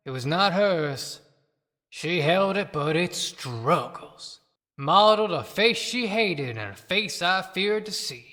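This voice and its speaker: A woman's voice: fairly low pitch, fairly bright tone. A woman playing a bitter, haunted dead man, delivering a dramatic poetic monologue in an audio play. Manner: dramatic, declamatory, deliberate. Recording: long hall reverb.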